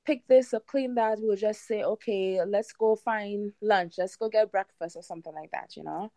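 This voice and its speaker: A woman's voice: mid-range voice, normal speed, warm tone, no room sound. A woman in her thirties with a Trinidadian accent, chatting casually as an interview guest. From Trinidad and Tobago. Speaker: podcast guest, home gardener.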